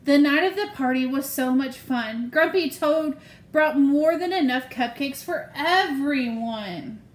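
A woman with a high voice reads a picture book to young children. Her delivery is slow and deliberate, with expressive storytelling. The recording is roomy, like a phone in a room at home. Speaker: elementary school staff member reading aloud. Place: small room at home, indoors.

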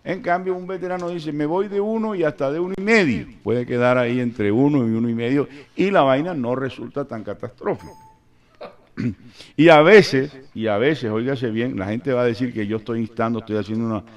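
Older radio host, joking. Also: male voice, mid-range voice, brisk. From Colombia. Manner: animated, joking, rambling. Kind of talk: casual radio chat, storytelling. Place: radio studio. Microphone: studio mic with headphones.

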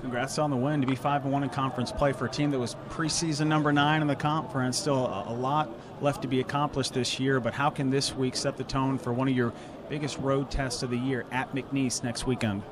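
A man's voice: medium pitch, flat delivery. Upbeat young male sports interviewer. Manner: upbeat, polished, reads stats off quickly. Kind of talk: post-game broadcast interview. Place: outdoor ballpark stands. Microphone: handheld mic. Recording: outdoor ballpark with crowd nearby.

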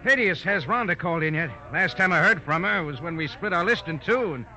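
Eager young fellow, high and very bright, old-time radio acting. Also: male voice, lively intonation, a little fast, soft background music, heavily acted, poor audio. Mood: friendly, anxious.

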